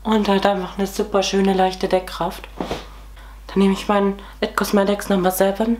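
Hoarse young woman with a cold, flat voice. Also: medium pitch, unhurried, slight background noise. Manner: chatty, many fillers, talks while applying makeup. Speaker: beauty vlogger. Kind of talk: casual makeup tutorial chat. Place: small room at home, indoors.

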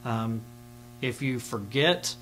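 A man's voice: mid-range voice, lively intonation, slow, fairly bright tone, poor audio. A middle-aged man giving an informal, step-by-step instructional demonstration in a plain-spoken way. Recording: clear background hum and noise.

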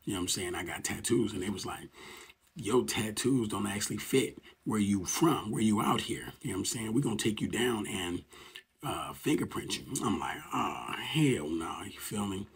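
A man with a deep voice and a husky, slightly rough edge talks casually to his phone camera. He is in his forties. His talk is slangy and laid-back, and he keeps checking in with his listeners. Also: expressive, normal speed. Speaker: vlogger telling his life story. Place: indoors, green-screen map background.

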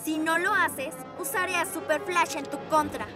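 Very high-voiced little girl hero. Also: fairly even intonation, normal speed. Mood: determined. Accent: Latin American. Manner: emphatic, determined delivery. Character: earnest, bold six-year-old girl superhero. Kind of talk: animated children's cartoon dubbing. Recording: music behind the voice.

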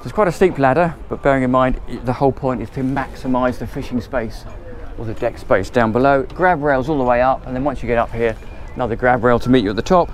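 A man's voice: medium pitch, fast talker. A man giving a chatty, ad-libbed walkthrough tour of a motor boat, filmed handheld on board at a marina. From England. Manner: chatty, enthusiastic, loosely ad-libbed. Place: on board a motor boat at a marina, moving between cabins and decks.

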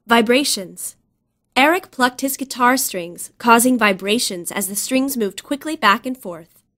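A woman narrates educational material in a fairly high voice. She reads slowly and carefully, as for a vocabulary lesson, and the sound is dry and close. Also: expressive, fairly bright tone, no room sound.

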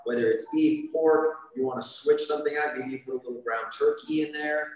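A man cooking on a studio kitchen set, explaining each step in a relaxed, chatty way. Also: on the high side, brisk. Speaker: chef demonstrating a recipe. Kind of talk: live cooking demonstration. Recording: echoey kitchen with light background noise.

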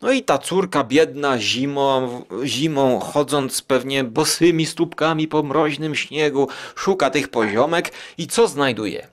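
A man's voice: medium pitch, measured pace, few pauses. A man hosting a podcast, casually rambling through a review and thinking aloud as he goes. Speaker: podcast host.

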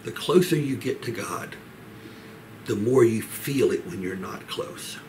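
A man, teaching the Bible as a pastor in a measured, earnest way with frequent pauses, seated in a small room a little way from the mic. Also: lowish voice, lively intonation, a little mellow. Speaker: pastor leading a Bible study. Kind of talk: Bible teaching, expository sermon. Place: small home office, indoors. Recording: clear background room noise.

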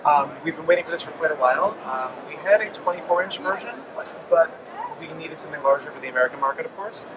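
A man's voice: fairly high pitch, quick pace. A man in his forties, a company representative explaining products with frequent ums in a trade show interview. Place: busy indoor convention hall. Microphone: handheld mic held by interviewer. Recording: noisy trade show floor.

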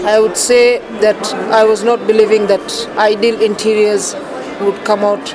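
A woman's voice: medium pitch, level tone, measured pace, rough recording. A woman visitor giving enthusiastic, unscripted interview remarks. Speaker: expo visitor. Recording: busy crowd chatter behind.

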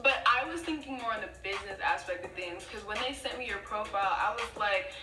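A young woman casually telling a story with a playful, animated, cursing manner, heard from a video being played back. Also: medium pitch, brisk. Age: young adult. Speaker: vlogger. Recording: echoey far-off video playback with music.

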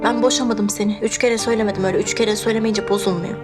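An actress playing a stubborn young wife who coaxes and pleads with her husband, then answers him with quick, defiant retorts in a TV drama. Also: mid-range voice, fairly even intonation, lightly acted. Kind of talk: TV drama acting. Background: music score.

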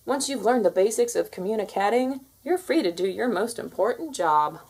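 A woman's voice: medium pitch, animated, normal speed, darkish tone, slightly rough. A woman voicing a confident, bossy alpha cat who lectures kittens, in a theatrical storybook read-aloud style. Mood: instructive.

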